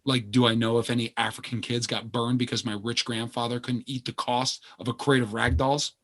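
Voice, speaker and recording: A guarded, earnest man of privilege as acted by a man in a two-hander play. His voice sits at an ordinary middle pitch. He speaks hesitantly and casually, uneasy about his own principles. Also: flat delivery, fairly quick, fairly bright tone, lightly acted. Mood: uneasily candid. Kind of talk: naturalistic stage dialogue.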